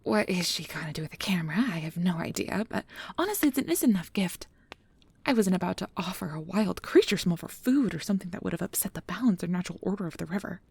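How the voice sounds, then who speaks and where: A woman playing a nervous, earnest, principled field researcher of magical creatures in an ASMR audio drama, soft and hesitant with stammers, close to the mic. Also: on the low side, animated, quick pace, no room sound, exaggerated voice. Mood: sheepish, explaining. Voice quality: breathy, soft. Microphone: close mic, dry recording.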